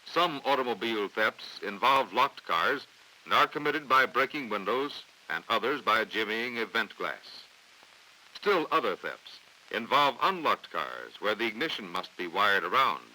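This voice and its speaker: Forceful, bright-voiced 1950s American narrator man. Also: fairly low pitch, sing-song, low-quality recording. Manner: formal, authoritative, measured delivery. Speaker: documentary narrator. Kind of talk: scripted 1950s training film narration. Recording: vintage 1950s film soundtrack.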